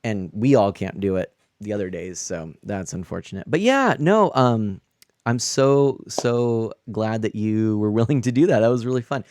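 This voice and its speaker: A man's voice: lowish voice, normal speed, darkish tone, dry recording, clean studio sound. A man hosting his own live stream, chatting in an upbeat, casual way with frequent laughs and fillers. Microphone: streaming microphone.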